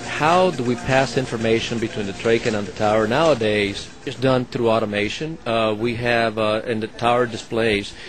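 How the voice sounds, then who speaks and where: A man who supervises air traffic controllers and flies, explaining the work in a halting way, with many fillers and restarts. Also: mid-range voice, music in the background. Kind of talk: explaining his work to an interviewer.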